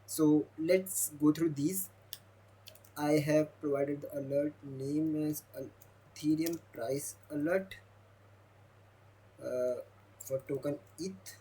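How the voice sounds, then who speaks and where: A young man, a Hindi speaker, walking through a demo of his own software while thinking aloud. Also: on the high side, slow pace, long pauses, husky, low-quality recording. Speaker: software developer demoing his app. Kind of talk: screen-recorded product demo.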